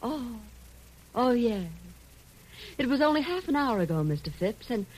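A woman's voice: on the high side, sing-song, measured pace, darkish tone, breathy edge, exaggerated voice, poor audio. An actress playing a sly, sophisticated female blackmailer with an arch, mocking drawl in 1940s radio drama style. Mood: wary. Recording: old radio recording, faint hiss.

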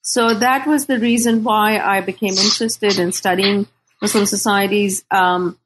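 A middle-aged woman from Pakistan speaking as a scholar in an interview, thoughtful and deliberate, often pausing to search for words. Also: medium pitch, steady tone, background noise. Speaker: scholar and author being interviewed. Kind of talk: thoughtful academic interview talk.

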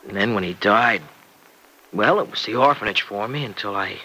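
An actor playing an earnest, excitable young husband and devoted son-in-law in an old radio serial. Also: male voice, on the low side, normal speed, poor audio. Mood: reflective, candid. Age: young adult. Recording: vintage radio recording.

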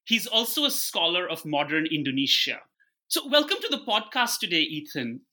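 A man's voice: on the high side, sing-song, moderate pace, bright, forceful tone. A man hosting a podcast, delivering prepared introductions and interview questions. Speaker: podcast host.

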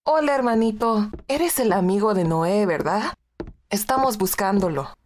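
A woman's voice: mid-range voice, lively intonation, moderate pace, darkish tone, a little hiss or hum, close to an everyday voice. An actress playing an older widowed woman who is motherly and teasing. She mixes Spanish endearments into her talk. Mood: friendly. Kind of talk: audio drama acting.

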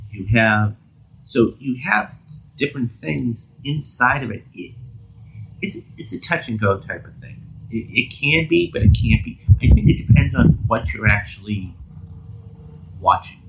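A man co-hosting a podcast in casual discussion, talking haltingly and rephrasing his thoughts as he goes. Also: mid-range voice. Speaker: podcast co-host. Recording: clearly noisy background with clicks.

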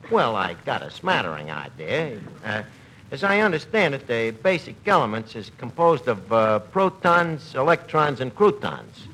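Bumbling cocky wise guy, self-important, bad grammar, lively intonation, old-time radio comedy, noisy recording. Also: medium pitch, normal speed. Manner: mangles grammar and big words with self-importance. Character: cocky, bumbling wise-guy man playing amateur detective. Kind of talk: 1940s radio comedy acting before an audience.

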